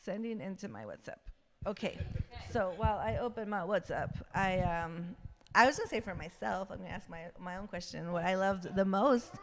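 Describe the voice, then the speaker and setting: A woman hosting a casual livestream, talking in an effusive, halting way. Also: medium pitch, background noise. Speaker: livestream host.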